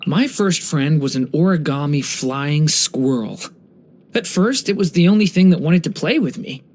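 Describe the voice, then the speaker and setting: An actor voicing a gentle, earnest young dragon boy in a video game, speaking with soft-hearted wonder and care. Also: medium pitch, expressive, moderate pace, a little hiss or hum, lightly acted. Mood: wistful, fond. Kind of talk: scripted game character voice lines.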